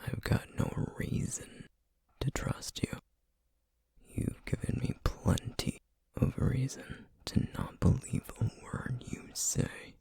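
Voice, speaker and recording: A man playing a menacing, distrustful feral essence eater in an ASMR roleplay, speaking in a breathy, husky near-whisper with slow, threatening deliberation. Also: dark tone, no echo, close to an everyday voice. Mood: bitter, threatening. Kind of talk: ASMR roleplay audio drama.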